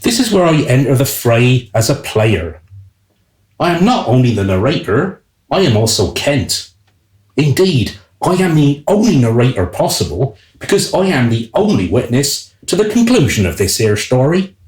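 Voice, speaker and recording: A man's voice: medium pitch, big pitch swings, moderate pace, a little mellow, natural voice. A man playing a wry royal groom who narrates a comic radio play in a theatrical style, with an echoing sound. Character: wry male royal groom telling the tale.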